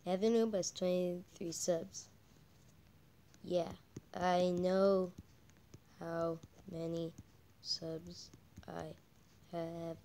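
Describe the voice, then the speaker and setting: Croaky, slow-talking female gamer with a cold. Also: on the low side, flat delivery, warm tone. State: croaky with a cold, coughing and throat-clearing. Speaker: host of a gaming channel. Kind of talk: casual game commentary.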